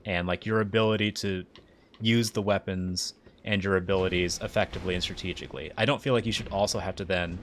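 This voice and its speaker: A young man with a mixed Southern and Midwestern American accent, chatting excitably and rambling in a self-mocking way while streaming a game. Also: fairly low pitch, a little hiss or hum. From United States. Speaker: livestreamer. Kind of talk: casual live gameplay commentary.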